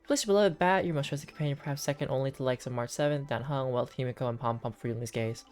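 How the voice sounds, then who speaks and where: A woman's voice: rumbling low, fast talker, darkish tone. A woman streaming a game with playful, theatrical commentary, reading dialogue aloud. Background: faint game music.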